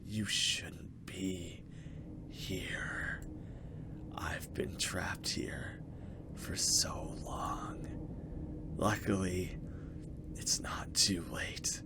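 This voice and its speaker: A man voicing a trapped ghostly younger sister in a visual novel, weak, pleading and whispery, with slow halting delivery. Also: low voice, fairly even intonation, pitched below the performer's own voice, full character voice. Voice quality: whispery, breathy.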